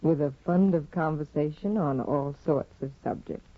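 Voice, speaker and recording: An actress playing a bored, disdainful aristocratic young wife in a 1950s radio drama, with a haughty, sardonic, theatrical delivery. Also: female voice, low voice, moderate pace. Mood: scornful. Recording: dull vintage 1950 radio recording.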